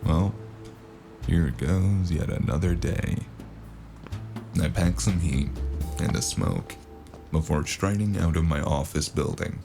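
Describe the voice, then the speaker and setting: A man plays a world-weary cyberpunk gun for hire in a noir audio drama. His voice is extremely low and full of fry, dry and deadpan, with plucked guitar music behind it. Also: slow pace, long pauses, exaggerated voice. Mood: weary, grumbling. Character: weary, wry, hard-boiled cyberpunk hired gun narrating his story. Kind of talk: noir first-person narration and audio drama dialogue.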